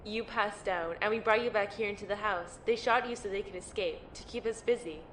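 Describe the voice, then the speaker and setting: A woman's voice: medium pitch, a little fast, fairly bright tone, a little hiss or hum, close to an everyday voice, low-quality recording. A woman playing a tough, no-nonsense lieutenant in an amateur audio drama, blunt and matter-of-fact. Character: tough, no-nonsense female lieutenant in powered armor. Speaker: voice actor playing a military lieutenant.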